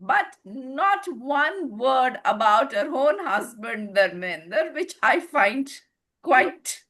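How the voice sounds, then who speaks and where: Female discussion participant, fairly high and sharp-edged, unhurried, deliberate and moralizing, speaking over a video call. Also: big pitch swings. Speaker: book club participant. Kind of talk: opinion in a group discussion.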